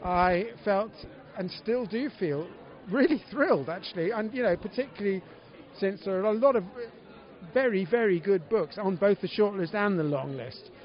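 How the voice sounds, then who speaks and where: A man's voice: high voice, animated, moderate pace. A man, an author, speaking in a wry, self-deprecating way at an awards dinner. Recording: dull audio, faint crowd.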